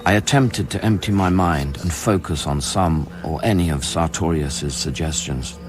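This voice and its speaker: Man acting a deep-voiced, anguished scientist. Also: normal speed, music in the background, lightly acted. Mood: sombre, grieving. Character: anguished, guilt-ridden male scientist haunted by lost love. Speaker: lead actor and narrator. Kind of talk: radio drama acting with first-person narration.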